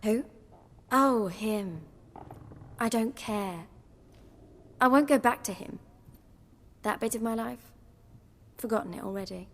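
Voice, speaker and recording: A woman's voice: fairly high pitch, slow, pauses often, long reverb tail. An actress with a British accent playing a bitter, defiant young woman in a dramatic video game. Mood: resolute, dismissive.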